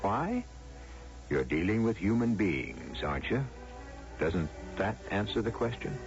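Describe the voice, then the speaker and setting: A man's voice: fairly low pitch, sing-song, measured pace. A man hosting a radio drama with wry, theatrical scripted narration and rhetorical questions, on a muffled old broadcast. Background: music.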